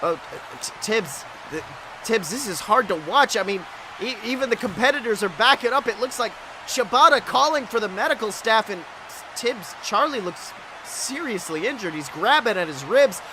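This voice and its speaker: Very high-pitched, excitable male wrestling commentator. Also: moderate pace, noisy background. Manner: breathless, exclamatory, dramatic. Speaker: wrestling commentator, podcast host.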